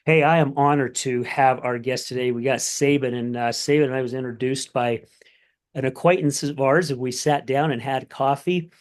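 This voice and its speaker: A man hosting a podcast, with a voice of middle pitch. He talks in a friendly, earnest way, reading a sponsor message and welcoming his guest. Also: steady tone, moderate pace. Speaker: podcast host.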